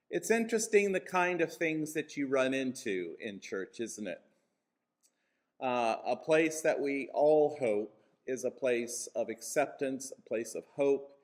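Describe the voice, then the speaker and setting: A mature man with an American accent giving an informal, reflective conference address, telling personal stories in measured phrases with pauses for emphasis. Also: medium pitch. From Kansas, USA. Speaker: church moderator, guest speaker. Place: conference venue.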